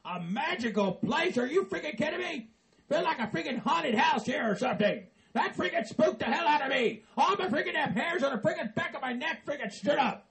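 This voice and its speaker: A man's voice: very high-pitched, lively intonation, normal speed, vocal fry, fairly bright tone, exaggerated voice. A man playing a grumpy, profane middle-aged man who hates Christmas, blustering and exasperated. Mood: freaked out. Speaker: comedy character.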